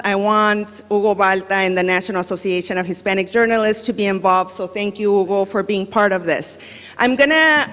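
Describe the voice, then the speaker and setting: A woman speaks as a panelist at a conference, giving prepared-sounding remarks. Her voice sits fairly high and rings with the long reverb of a hall. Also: a bit bright. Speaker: panelist, university program director. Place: indoor event hall with microphone.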